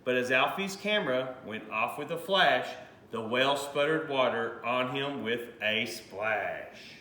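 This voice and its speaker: A man reads a rhyming storybook aloud for children with an expressive, animated delivery. His voice is bright and swings widely in pitch, recorded in a roomy space with faint noise. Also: slow pace. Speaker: high school principal reading a picture book aloud.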